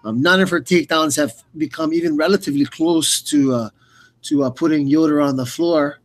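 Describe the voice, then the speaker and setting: Male color commentator, somewhat high pitch, breaking down the action analytically for a sports broadcast. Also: brisk.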